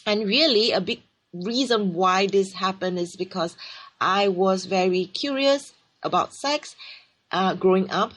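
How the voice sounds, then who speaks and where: A woman's voice: medium pitch, unhurried, fairly bright tone. A woman with a Singaporean accent hosting a radio talk show on her own, explaining earnestly and sometimes restarting her phrases. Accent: Singaporean English. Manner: earnest, explanatory, reads aloud then speaks freely with restarts. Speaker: radio show host and sexologist.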